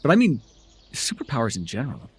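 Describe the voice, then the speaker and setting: A man voices a smug male supervillain turned mentor for an audio roleplay. His husky voice sits at a middling pitch and swings expressively up and down. He is wry and teasing, with a theatrical streak in a conversational delivery. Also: wildly swooping pitch, fast talker, exaggerated voice. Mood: earnest, exasperated. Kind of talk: ASMR roleplay voice acting.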